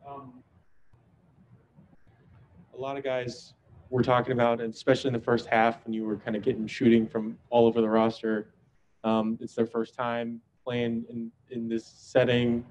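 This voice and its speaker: A male reporter working through a long question, correcting himself as he goes. His voice stays flat, with faint noise underneath. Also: medium pitch, normal speed, rough recording. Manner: rambling, self-correcting question. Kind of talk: press conference question.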